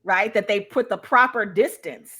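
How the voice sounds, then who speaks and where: Bright-voiced, emphatic woman in discussion. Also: medium pitch, moderate pace, husky. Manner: emphatic, often checks in with "right?". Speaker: guest speaker on a live panel. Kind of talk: impassioned conversational discussion of personal experience. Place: live online video call.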